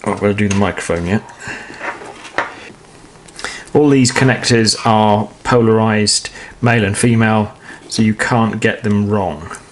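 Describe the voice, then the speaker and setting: A man's voice: on the low side, moderate pace, hardly any pauses, slight background noise. A British man presenting an informal step-by-step how-to demonstration in a matter-of-fact, explanatory way. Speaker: presenter of a how-to product installation video.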